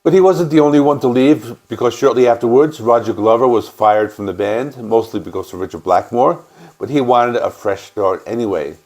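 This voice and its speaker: A man with a stuffy cold, hosting a music channel and calmly explaining an album's history in a casual, matter-of-fact way. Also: mid-range voice, normal speed. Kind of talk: casual record review and band history.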